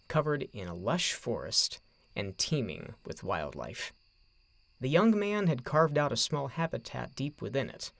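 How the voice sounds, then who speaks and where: A man reads a dramatic story as its narrator. His pitch moves expressively over a wide span, and his voice carries a gravelly creak. The recording is close and dry, and his phrasing is measured. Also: no room sound.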